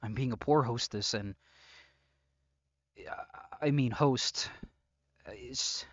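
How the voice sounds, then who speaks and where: A man playing an obsessive, unstable captor and lover in an intimate audio roleplay, halting and brooding, swinging between pleading and threat. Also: mid-range voice, no echo, dull audio. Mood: flustered, unhinged. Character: obsessive, unstable male yandere captor, menacingly tender lover.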